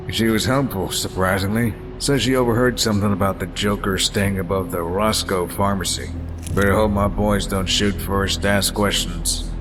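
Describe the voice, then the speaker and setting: A man playing a weary, gruff police commissioner in a motion comic, with a blunt, world-weary way of talking. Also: low-pitched, natural voice. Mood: businesslike, blunt. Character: weary, gruff male police commissioner, middle-aged or older. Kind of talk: dramatic motion comic acting. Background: music.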